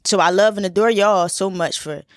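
A woman's voice: medium pitch, moderate pace, dry recording, studio quality. A woman in her twenties from Philadelphia, hosting a casual solo podcast in a chatty, laid-back way with lots of fillers and repeats. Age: 20s. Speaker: podcast host.

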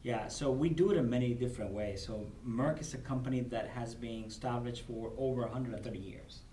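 A man's voice: medium pitch, fairly quick, mellow. A man from Cuba with a Cuban Spanish accent, Spanish as his first language, speaking earnestly as a guest on a podcast at a convention and often repeating words as he gathers his thoughts. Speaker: guest, corporate supplier diversity director. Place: convention venue. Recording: roomy, distant mic with faint noise.

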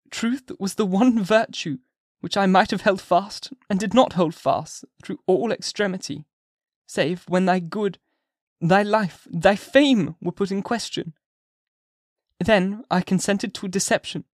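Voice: medium pitch, no echo, studio quality, voice pushed up, close to an everyday voice. A reader voicing a desperate woman who confesses a secret and pleads with her lover in old-fashioned, impassioned speech. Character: anguished woman pleading for forgiveness. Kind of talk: audiobook character voice.

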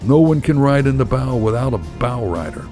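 A man's voice: medium pitch, lively intonation, a little fast, soft background music, pitched above the performer's own voice, lightly acted. A man voicing an enraged, bullying state trooper who bellows orders in a menacing, officious way. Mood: angry scolding. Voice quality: loud bellowing.

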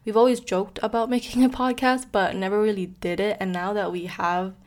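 A woman's voice: medium pitch, steady tone, a little fast. A woman in her twenties from Indonesia, co-hosting a podcast in a casual, friendly chat with frequent fillers and light laughs. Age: 20s. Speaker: podcast co-host.

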